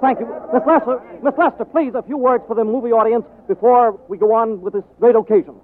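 High-pitched, showy premiere announcer, man. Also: a little fast, narrow, tinny audio. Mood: eager, ceremonious. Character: breathless, showy male announcer at movie premiere. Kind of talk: 1940s radio drama acting. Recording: noisy thin vintage radio recording.

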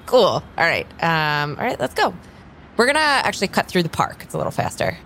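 A woman playing an easygoing, low-pitched tarot reader. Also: wildly swooping pitch, a little fast, vocal fry, fairly bright tone, slight background noise, heavily acted. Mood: casual, busy. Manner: hesitant, restarting, agreeable. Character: easygoing, slightly flustered female tarot reader. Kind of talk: improvised audio drama dialogue.

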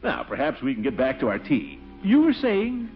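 Pompous, blustery uncle, wildly swooping voice. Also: male voice, mid-range voice, wildly swooping pitch, moderate pace, faint music behind. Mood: flustered. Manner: blustery, exasperated, swooping delivery with chuckles. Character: pompous, flustered middle-aged small-town bachelor uncle. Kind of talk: 1940s radio comedy acting. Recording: dull, lo-fi 1940s radio recording.

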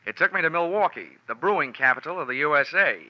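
An actor playing a wry, hard-boiled American insurance investigator in a 1940s radio drama, narrating with dry, deadpan wisecracks. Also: male voice, a little high, fast talker, no echo, close to an everyday voice. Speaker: lead actor narrating as the detective. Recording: muffled 1940s radio recording with music.

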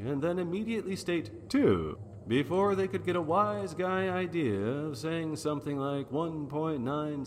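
Slow, reverberant noir detective played by a man. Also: on the high side, slight background noise. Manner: wry, deadpan. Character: wisecracking, deadpan 1940s noir detective, adult man. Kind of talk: 1940s noir radio drama narration.

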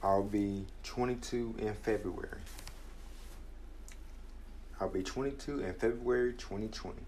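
Slow, earnest young man. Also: lowish voice, darkish tone, a little hiss or hum, poor audio. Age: 20s. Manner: earnest, measured, reflective. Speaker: podcast host. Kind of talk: motivational personal talk.

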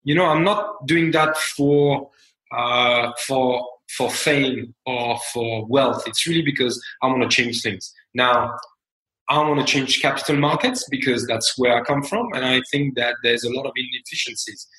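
Man, French accent, forties, measured and reflective, roomy recording. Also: mid-range voice, sharp tone. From France. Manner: measured, reflective, many fillers and restarts. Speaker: fintech founder guest. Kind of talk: interview conversation.

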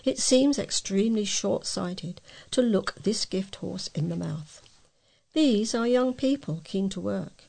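Woman, creaky mid-pitched voice, measured letter reading, volunteer newsreader. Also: lively intonation, darkish tone. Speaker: volunteer newspaper reader. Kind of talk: reading readers' letters aloud.

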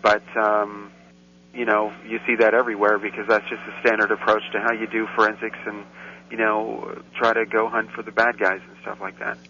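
Flat, bright-toned male interview guest. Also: lowish voice, moderate pace. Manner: hesitant, with many fillers and restarts. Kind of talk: interview answers. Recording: muffled line with faint noise.

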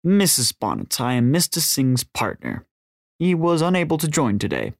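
A man voicing a smug older crime partner who speaks slowly and politely with cold, condescending menace. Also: a little high, huge pitch swings, no echo, studio quality, pitched above the performer's own voice, exaggerated voice. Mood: smooth, polite.

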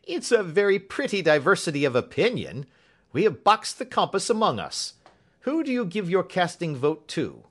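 A man's voice: a little high, big pitch swings, measured pace, pitched above the performer's own voice. A man voicing a hearty, eager police inspector in an audiobook, confident, jovial and emphatic. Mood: amused. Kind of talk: audiobook character acting.

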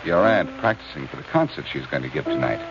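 An actor playing a quick-witted, cocky male amateur detective in a 1940s radio drama. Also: rumbling low, sing-song, music in the background, full character voice. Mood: wry. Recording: dull, hissy old radio recording.

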